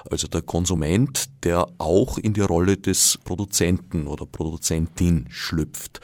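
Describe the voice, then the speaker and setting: A man with an Austrian accent interviewing in an intellectual style, measured, wordy and precise. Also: sits low, darkish tone, no room sound.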